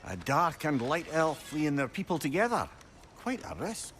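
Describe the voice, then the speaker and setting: A man's voice: fairly high pitch, wildly swooping pitch, normal speed, a bit bright, a little hiss or hum. A man playing a witty, talkative older sage companion in a video game, with a Scottish accent and an articulate, wry manner. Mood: curious. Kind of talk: dramatic video game acting.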